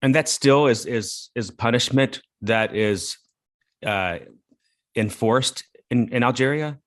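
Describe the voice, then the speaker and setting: A man's voice: medium pitch, takes it slowly. A man hosting an interview podcast, asking questions in a friendly, earnest way with frequent fillers. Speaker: podcast host. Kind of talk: interview conversation.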